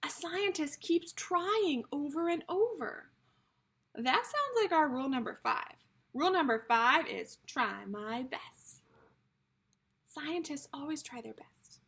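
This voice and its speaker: A woman's voice: high-pitched, animated, slow, fairly bright tone. A woman teacher reading a picture book aloud to young children, explaining things simply and speaking to them directly as friends.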